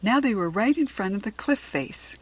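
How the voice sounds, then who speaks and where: A woman's voice: mid-range voice, lively intonation, moderate pace, breathy edge. American woman reading a children's story. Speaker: storybook narrator. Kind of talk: scripted children's story narration.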